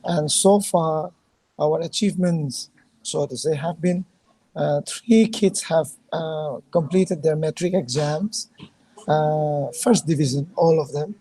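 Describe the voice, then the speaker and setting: Hoarse woman from Manipur on a call. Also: low voice, mellow, low-quality recording. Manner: halting, earnest, many fillers. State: hoarse, losing her voice. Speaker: guest, charity founder. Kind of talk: casual video call conversation.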